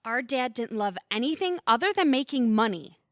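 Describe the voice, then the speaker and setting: Woman acting an earnest, animated, higher-voiced daughter. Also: normal speed, no room sound, studio quality. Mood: incredulous. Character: hurt, wary adult daughter of a rich man. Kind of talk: audio theatre acting.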